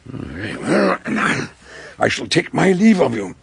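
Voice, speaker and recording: An actor playing an eccentric, wordy older British detective doctor in a radio drama, genial and courteous, with a gravelly, husky voice. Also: male voice, medium pitch, wildly swooping pitch, no room sound, heavily acted. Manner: wordy, deliberate, old-fashioned courtesy.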